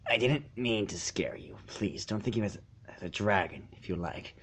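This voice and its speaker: Guy doing a big harmless young boy dragon, low soft voice, careful and earnest, pony story. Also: animated, normal speed, slight background noise. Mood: soft pleading. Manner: careful, restrained, pleading.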